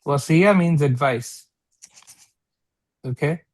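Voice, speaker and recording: A man teaching an informal interactive read-along class, explaining phrase by phrase in a halting way and often checking for understanding. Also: on the high side, a little mellow, no room sound, studio quality. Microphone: online video call.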